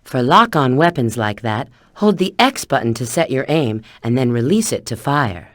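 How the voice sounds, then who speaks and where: A self-assured female field agent from a video game. Her pitch moves around in a lively way as she briefs an ally with clipped, instructive tips. The recording is dry, with no room sound. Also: normal speed, no room sound. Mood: instructive, confident. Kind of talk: video game tutorial and mission dialogue.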